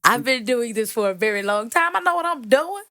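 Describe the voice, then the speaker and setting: A woman singer and songwriter with a deep voice, chatting as a guest in an animated, emphatic, playfully teasing way. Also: a little fast, no room sound, clean studio sound. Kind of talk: casual interview chat.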